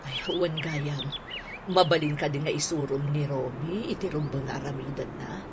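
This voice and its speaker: A woman's voice: sits low, normal speed, background noise. A woman playing an older auntie devoted to her much younger boyfriend in a radio drama. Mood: plaintive, explaining.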